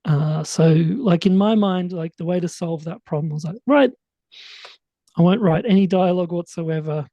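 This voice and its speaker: A man's voice: high-pitched, normal speed, dark tone, no echo, lo-fi sound. A man, a writer-director guest chatting casually in an interview, rambling and full of fillers. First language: English.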